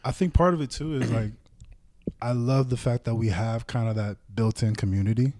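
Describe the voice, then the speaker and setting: A man's voice: medium pitch, fairly even intonation, normal speed, warm tone, dry recording, clean studio sound. A young man who grew up in Samoa, a podcast guest talking in a thoughtful, laid-back way, with lots of casual fillers. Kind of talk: casual chat.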